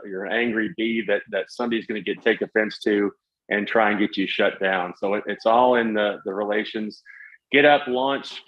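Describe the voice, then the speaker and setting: A middle-aged man with a low voice talks as an interview guest. He explains at length in a halting, conversational way, feeling for the next phrase. The sound is dull and lo-fi. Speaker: guest, paraglider pilot and retired air traffic controller. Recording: dull, lo-fi voice audio.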